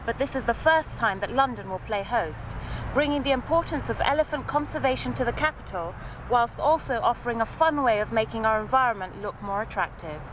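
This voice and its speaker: A woman's voice: a little high, brisk, noisy background. Woman reporter narrating news features. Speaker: reporter and presenter. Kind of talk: scripted news feature narration and interview questions.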